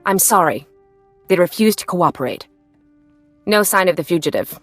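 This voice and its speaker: A woman playing a stern, dutiful military subordinate in a sci-fi video game, crisp and formal. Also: fairly low pitch, expressive, normal speed, no room sound, faint music behind. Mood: apologetic, formal. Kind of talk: video game character acting.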